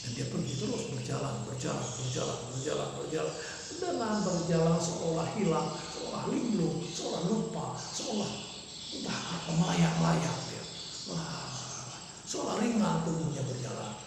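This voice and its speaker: A man telling a folk legend in a halting, repetitive oral storytelling manner. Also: a little high, big pitch swings, creaky. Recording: echoey room with loud background noise.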